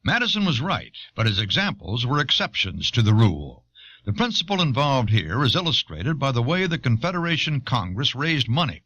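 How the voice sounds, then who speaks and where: A man reading scripted documentary narration in a measured, explanatory manner, on an old cassette recording. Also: medium pitch, pushed and bright, muffled recording. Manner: measured, expository.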